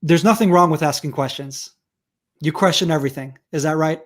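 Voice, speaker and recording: A man's voice: on the high side, a little fast. A middle-aged man who grew up in Israel and whose first language is Hebrew, answering interview questions emphatically with many restarts. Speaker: guest expert.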